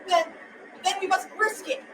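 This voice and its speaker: A young girl as a high-voiced young prince. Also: fairly even intonation, normal speed, echoey room, distant mic, a crowd in the background, background noise. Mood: anxious. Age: child, around 12-13. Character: brave but fearful young prince on a quest. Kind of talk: school radio drama acting.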